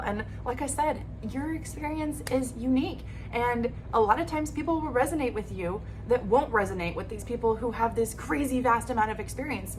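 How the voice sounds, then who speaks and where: A woman's voice: a little high, noisy background. Upbeat woman vlogger giving pep talk. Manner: upbeat, encouraging, talks straight to camera. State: losing her voice. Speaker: YouTube creator. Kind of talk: casual advice vlog.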